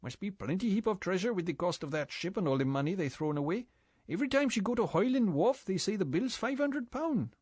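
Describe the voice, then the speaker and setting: Man doing a boatman, old, gossipy, high-pitched, drawling, dry close sound. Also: lively intonation, brisk, a little mellow, husky, no room sound. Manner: chatty, broken grammar, drawling. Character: weathered old male island boatman, gossipy.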